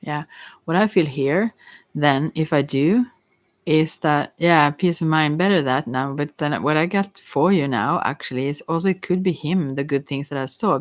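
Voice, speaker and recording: A psychic reader offers unscripted spiritual advice in an ASMR style. The voice sits at a middle pitch and sounds very dry, with little room sound. The delivery is gentle and consoling, wandering along with frequent self-corrections. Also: dry recording. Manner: halting, rambling, often self-correcting.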